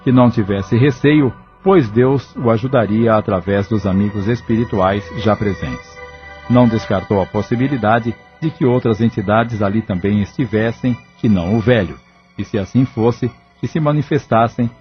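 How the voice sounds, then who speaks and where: A man reading scripted narration for an audio drama. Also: fairly low pitch. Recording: dull lo-fi audio. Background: background music.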